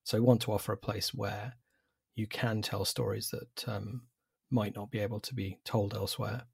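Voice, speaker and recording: Measured English man, forties, low husky voice, dry close recording. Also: little pitch movement, dry recording. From England. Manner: measured, thoughtful, with fillers and restarts. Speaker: publisher, guest. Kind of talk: interview answers.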